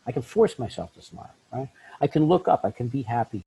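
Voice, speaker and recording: Man, middle-aged, husky voice, animated storytelling, life coach guest. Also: quick pace, poor audio. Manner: acts out quoted voices with big pitch jumps. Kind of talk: animated storytelling in a podcast interview.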